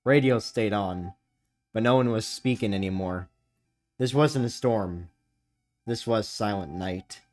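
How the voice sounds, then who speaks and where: Low-voiced man reacting over music. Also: unhurried, pauses often, darkish tone. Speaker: reaction video host. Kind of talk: reading on-screen captions aloud with casual commentary.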